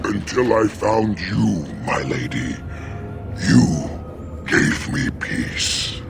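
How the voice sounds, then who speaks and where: Gravelly, slow-spoken werewolf. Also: male voice, lowish voice, very animated, full character voice. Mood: devoted. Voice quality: gravelly growl. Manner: slow, halting, solemn. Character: grave, loyal werewolf servant of a forest lady. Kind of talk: fantasy game voice acting. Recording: music behind the voice. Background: game music.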